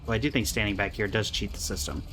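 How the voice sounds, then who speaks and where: A man's voice: fairly low pitch, fast talker, a bit bright, soft background music. A man giving casual live commentary while playing a video game, with dry, muttered asides to himself and the game. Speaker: gaming streamer reacting to gameplay.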